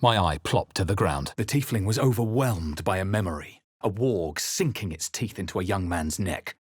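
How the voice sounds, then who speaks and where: A man voicing a brooding adventurer who narrates his inner thoughts in a video game, solemn, deliberate and dramatic. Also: sits low, lively intonation, slightly rough, dry recording, studio quality. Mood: intense. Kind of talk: scripted first-person video game narration.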